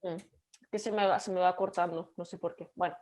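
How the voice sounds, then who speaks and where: A woman's voice: lowish voice, fairly even intonation, a little mellow. Catalan woman lecturing over a video call. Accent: Catalan Spanish. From Catalonia, Spain. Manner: halting, many restarts and fillers. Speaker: guest lecturer. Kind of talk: online lecture.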